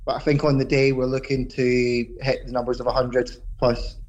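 Male soldier interviewee, muffled line, mid pitch, plain-spoken and slightly hesitant, informal. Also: flat delivery, brisk, darkish tone. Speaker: army sergeant, interview guest. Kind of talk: informal phone interview answers. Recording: dull, muffled remote line.

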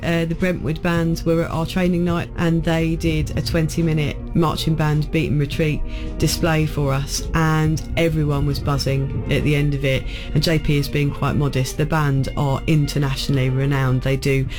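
Male interview guest, fluent and promotional, level intonation, clear music behind. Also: on the high side, level tone, normal speed, hardly any pauses. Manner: keen, promotional. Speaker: military band representative, interview guest. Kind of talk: fluent informative interview talk. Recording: music playing under the voice.

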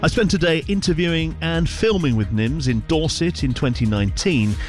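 A man's voice: a little high, expressive, moderate pace. A man narrating a scripted news report as a reporter. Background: music bed.

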